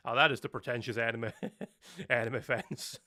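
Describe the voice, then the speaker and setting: A man's voice: mid-range voice, steady tone, a little slow, bright, forceful tone. A young man from Norway, a native Norwegian speaker talking in English, chatting casually on a live stream, rambling and laughing often.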